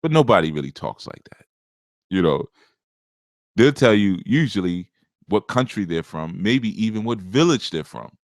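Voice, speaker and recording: Deep-voiced man teaching in a dry room. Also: sing-song, normal speed, no echo. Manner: conversational, rambling, with many asides and chuckles. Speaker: spiritual teacher hosting a live show. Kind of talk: casual spiritual teaching.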